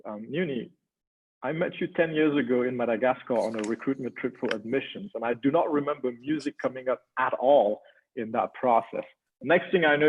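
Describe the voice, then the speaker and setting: A man's voice: medium pitch, slightly rough. A man moderating a panel webinar over a video call, chatty and personal, with frequent ums. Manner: conversational, many ums, warm personal asides. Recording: tinny phone-like audio.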